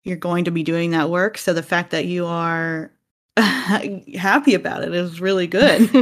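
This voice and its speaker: A woman's voice: on the low side, steady tone, measured pace. A woman hosting an interview, chatting casually and giggly with her guest. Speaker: podcast host.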